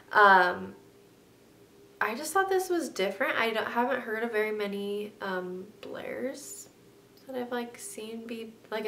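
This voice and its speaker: A woman's voice: gravelly, fairly bright tone. A young woman vlogger with a stuffy, congested nose, chatting casually in a sit-down video and filling her talk with ums and likes. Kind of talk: casual sit-down vlog chat. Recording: echoey room, faint rumble.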